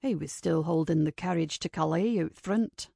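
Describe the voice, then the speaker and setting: A woman's voice: steady tone, a little slow, gravelly, mellow, breathy edge, no echo, clean studio sound, full character voice. A woman voicing a cynical, rakish man from a romance novel, murmuring with sardonic wit. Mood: wry, jesting. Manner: low murmuring, sardonic delivery.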